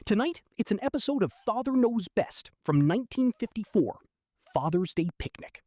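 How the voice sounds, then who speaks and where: A man's voice: high-pitched, big pitch swings, moderate pace, a little mellow, no echo. A man playing a small-town 1970s radio newscaster, reading bulletins in a formal announcer's manner. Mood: formal, upbeat.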